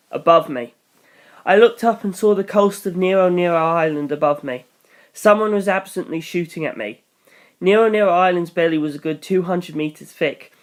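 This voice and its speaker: A reader narrating a first-person action story in a storytelling style. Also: medium pitch. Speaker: audiobook reader.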